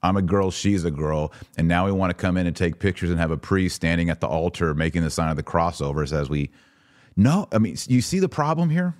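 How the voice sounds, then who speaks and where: A man's voice: low voice, expressive, fairly quick, no echo, studio quality. A man hosting a podcast of religious commentary, reading aloud and reacting in an emphatic, informal, argumentative way.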